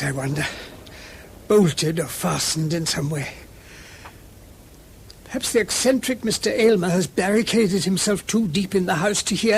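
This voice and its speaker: A man with a British accent playing a gentle, inquisitive priest-detective in a radio drama, musing aloud politely and a little hesitantly. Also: high voice, slight background noise. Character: gentle, inquisitive, mild-mannered English priest-detective, male.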